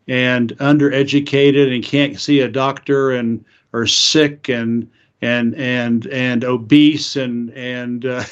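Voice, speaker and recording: An American man from Eastern Oklahoma hosts a casual interview, halting and restarting as he thinks aloud. His voice sits at a middling pitch. The sound is slightly dull and lo-fi. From Eastern Oklahoma, United States. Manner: halting, many restarts, chuckles often. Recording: muffled lo-fi audio.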